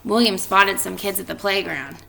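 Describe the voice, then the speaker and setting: A woman speaking as a teacher doing a storybook read-aloud for children, expressive and emphatic in her delivery. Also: mid-range voice, normal speed, gravelly, low-quality recording. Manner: animated, expressive delivery with emphatic stretched words.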